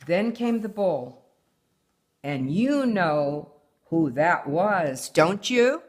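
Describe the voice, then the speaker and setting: Slow-reading older woman. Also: on the low side, sing-song. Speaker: mother reading a picture book aloud. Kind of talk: slow read-aloud of a children's story.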